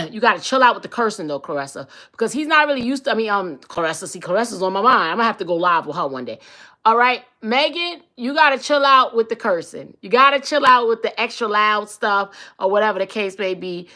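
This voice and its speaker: A woman's voice: medium pitch, expressive, fairly quick, pushed and bright. A woman with a New York accent hosting a live stream, talking bluntly and profanely as she reacts to viewer comments. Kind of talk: casual live-stream chat reading comments.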